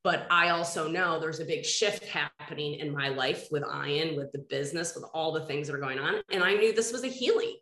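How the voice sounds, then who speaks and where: A woman's voice: low voice, brisk, few pauses, fairly bright tone, echoey room. Nasal, congested woman host. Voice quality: a little nasal. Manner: earnest, rambling, restarts sentences. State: congested from a head cold. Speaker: podcast host. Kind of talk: casual interview chat.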